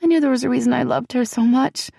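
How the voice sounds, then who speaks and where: An earnest, steady young woman with a dark, mellow tone, as a woman narrator voices her. She speaks firmly, a little above the narrator's usual pitch. The recording is dry and close. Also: quick pace, creaky, no room sound. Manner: earnest and firm.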